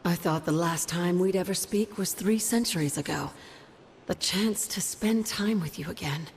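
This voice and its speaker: Low-voiced actress as proud ghostly witch. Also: moderate pace, a little hiss or hum, natural voice. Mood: tender, earnest. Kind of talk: video game voice acting.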